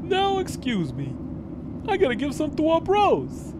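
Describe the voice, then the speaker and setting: A woman voicing a bubbly, teasing younger skeleton brother, playful and excitable, for a comic dub. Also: sits high, measured pace, full character voice. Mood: excited, friendly. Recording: faint background noise.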